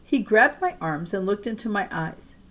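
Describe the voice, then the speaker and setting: A woman reading a children's novel aloud as an expressive narrator, with deliberate, emphatic phrasing. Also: medium pitch. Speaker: audiobook-style reader of a children's novel.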